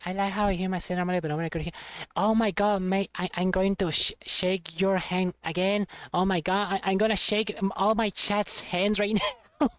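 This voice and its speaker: A woman's voice: medium pitch, fairly even intonation, normal speed, no echo. Rambling, giggly streamer woman. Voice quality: trained, voice she has been working on. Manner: rambling, self-deprecating, laughs often, many restarts. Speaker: streamer talking to chat. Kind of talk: casual stream chat.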